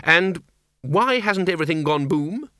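A man voicing a bossy, exasperated older wizard chief in an audiobook, blustering and gruffly sarcastic. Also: on the high side, lively intonation, a little slow, pushed and bright, no room sound, studio quality, pitched above the performer's own voice. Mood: terse, irritated.

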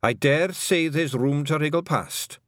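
A man's voice: medium pitch, sing-song, measured pace, no echo, clean studio sound, voice pushed up. A man doing a shrewd old fairy matriarch. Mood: weary, wry. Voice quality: lilting, broad rural brogue. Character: dying tiny old fairy clan mother, bossy, shrewd. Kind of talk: audiobook character voice.

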